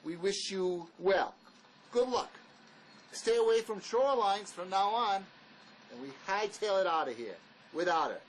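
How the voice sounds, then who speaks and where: An actor playing a wary, blunt escaped convict in a drama, speaking in an argumentative, insistent manner. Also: male voice, sits high, lively intonation, measured pace, a little hiss or hum, low-quality recording. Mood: exasperated, arguing. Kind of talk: dramatic dialogue reading.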